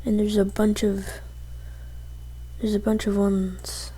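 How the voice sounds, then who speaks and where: A woman presenting a casual software tutorial as she goes through the steps on a computer. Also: mid-range voice, level tone, slow pace, breathy edge.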